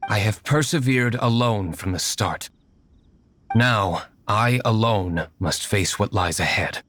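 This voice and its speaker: An actor playing a stoic, brooding young nobleman in a video game, speaking in a formal, measured, reserved way. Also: lowish voice, a bit bright, slight background noise. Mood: grave, resolute. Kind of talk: dramatic video game voice acting. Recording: game music behind the voice.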